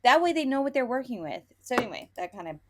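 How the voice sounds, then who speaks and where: Female podcast co-host, higher-pitched, opinionated and animated, swears freely, casual book-review chat, slips into imagined dialogue. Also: quick pace, noisy background.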